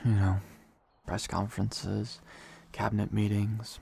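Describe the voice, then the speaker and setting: A man playing an easygoing, affectionate young emperor in an audio drama, wry and relaxed. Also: on the low side, unhurried, vocal fry, husky, exaggerated voice.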